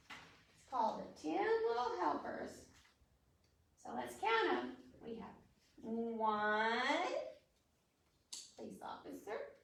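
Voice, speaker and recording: A woman's voice: sits high, gravelly, a little hiss or hum. An American woman hosting a children's storytime, teaching in a simple, encouraging, sing-song way as she counts aloud and prompts young listeners. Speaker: children's library storytime host. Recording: very echoey room, distant microphone.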